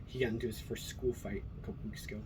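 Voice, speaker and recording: A man's voice: fairly low pitch, fairly even intonation, rapid-fire. A middle-aged man from Colorado with an American accent, talking in a casual, rambling monologue with an earnest, blunt, freely swearing manner. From Colorado, USA. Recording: noticeable background noise.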